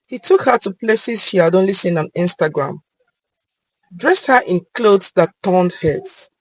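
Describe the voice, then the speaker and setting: A woman tells a dramatic scripted tale with deliberate phrasing. Her pitch is mid-range and moves a great deal, and the recording is dry and close, with hardly any room sound. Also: husky, no room sound, rough recording. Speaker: storyteller. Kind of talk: dramatic scripted story narration.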